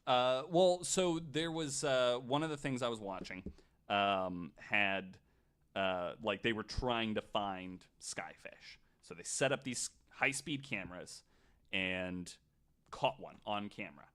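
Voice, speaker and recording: Male podcast storyteller, low register, loose conversational delivery, dry sarcastic streak and casual swearing. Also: slow, a bit bright, clear, resonant tone. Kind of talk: casual chat retelling researched stories.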